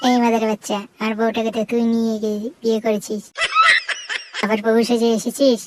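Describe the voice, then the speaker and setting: A woman's voice: a little high, little pitch movement, fairly quick, shouting, over loud music, lightly acted. A woman voicing a hot-headed, foul-mouthed male would-be hermit, brash and scolding, in a comic cartoon. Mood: angry. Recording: very noisy cartoon soundtrack.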